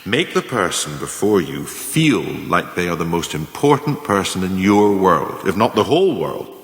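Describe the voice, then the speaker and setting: A man playing a male lecturer in a radio play, giving a measured, formal, didactic monologue on scheming career advancement. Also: on the low side, a bit bright. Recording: echoey room with long reverb.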